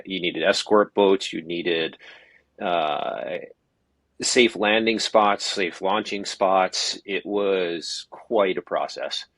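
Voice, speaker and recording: A man with a lowish, husky voice talks through his experience in a relaxed, unhurried way. His thoughts wander and he restarts sentences as he goes. The sound is dry and close, with no room around it. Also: no echo. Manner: thoughtful, rambling with frequent restarts. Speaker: podcast guest, open water swim organizer. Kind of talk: casual interview chat.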